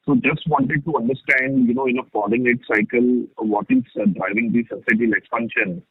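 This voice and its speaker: A man's voice: medium pitch, animated, moderate pace, vocal fry, breathy edge, dry recording. A man asking questions as an analyst caller on an earnings call, over a muffled phone line.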